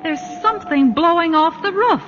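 A woman playing a gentle, fretful, devoted wife, coaxing and expressive in 1940s radio acting. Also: very high-pitched, normal speed, very noisy. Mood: concerned. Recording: reverberant, dull 1940s radio broadcast.

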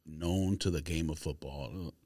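A man's voice: a bass voice, a little slow, warm tone, dry recording. A man hosting a podcast with blunt, slangy, teasing banter. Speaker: podcast host.

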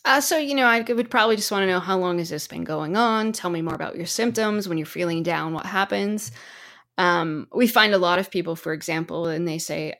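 Low-pitched woman with a gravelly edge. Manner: explanatory, illustrates with acted-out quotes. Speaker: therapist guest. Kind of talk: conversational interview, explaining advice.

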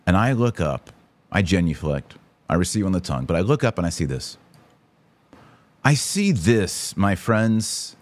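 Deep-voiced, very animated American man. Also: measured pace, pauses often, no room sound. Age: middle-aged. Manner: animated, emphatic, exasperated. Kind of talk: opinion commentary with anecdotes.

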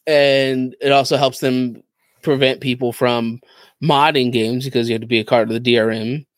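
A man's voice: mid-range voice, steady tone, moderate pace, dry recording. A man casually explaining gaming news as a podcast co-host.

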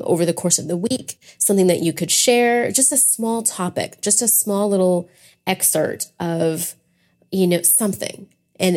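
A woman's voice: lowish voice, a little mellow. An American woman hosting a casual solo podcast, talking in a chatty, informal, rambling way. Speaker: podcast host.

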